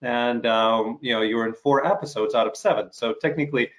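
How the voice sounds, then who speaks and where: A middle-aged man from Ukraine hosting a casual interview over a video call, talking haltingly with many fillers and restarts. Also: mid-range voice, fairly bright tone. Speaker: interview host.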